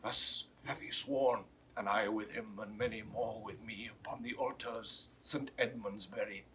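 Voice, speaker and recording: An actor playing a mortally wounded French count, remorseful and earnest, declaiming in breathy classical Shakespearean style. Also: male voice, fairly low pitch, fairly even intonation, normal speed, fairly bright tone. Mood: urgent warning. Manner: declamatory, breathy dying delivery. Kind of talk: 1960s classical Shakespeare audio drama acting. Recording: noisy vintage 1960s studio recording.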